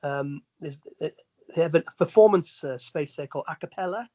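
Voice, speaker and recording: A man's voice: a little high, normal speed, warm tone, no room sound, muffled recording. A middle-aged Welsh man chatting casually as an interview guest, telling anecdotes and checking that the listener follows. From Wales.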